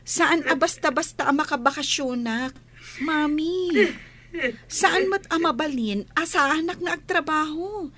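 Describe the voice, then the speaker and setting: High-pitched, pleading daughter. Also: female voice, measured pace, a bit bright. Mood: pleading, reassuring. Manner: pleading, reassuring. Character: pleading grown daughter working overseas, consoling her mother. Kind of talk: radio drama acting.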